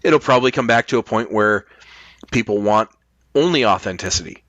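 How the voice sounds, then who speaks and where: A man's voice: fairly low pitch, animated, brisk, a bit bright, no echo, highs cut off. A man chatting casually as a podcast host, explaining his opinions emphatically and at length, with plenty of swearing. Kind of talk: casual chat.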